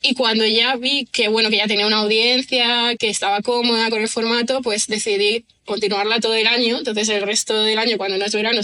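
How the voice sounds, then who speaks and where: Bright-voiced chatty woman. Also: mid-range voice. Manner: chatty, many fillers and tag questions. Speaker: guest writer. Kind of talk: relaxed podcast conversation.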